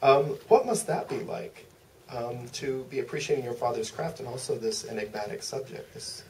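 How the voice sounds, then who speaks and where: A man's voice: mid-range voice, normal speed. A man moderating a Q&A, thinking aloud in long, hesitant, meandering sentences. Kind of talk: thoughtful, loosely phrased interview questions. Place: theater stage at a public Q&A. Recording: distant mic, faint room noise.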